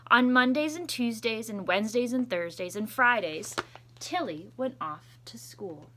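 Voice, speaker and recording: Woman, teacher reading aloud to children, fairly high pitch, bright tone, expressive deliberate phrasing. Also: a little hiss or hum. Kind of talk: read-aloud storytelling for children.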